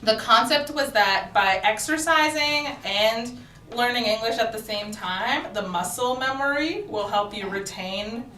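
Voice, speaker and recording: A bright-voiced woman who grew up in Japan chats as a comedian guest, playful and quick to laugh. The studio sounds roomy, with the mic set a bit away from her. Faint room noise sits underneath. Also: fairly high pitch, far from the mic. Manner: laughs often, chatty and playful. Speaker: comedian and actor, interview guest. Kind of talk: casual interview chat.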